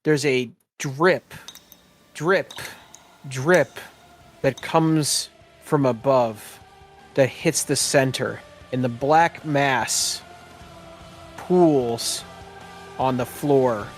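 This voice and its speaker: A man's voice: mid-range voice, extremely slow, pauses often, soft background music. A man narrating a tabletop game as game master in a descriptive storytelling style.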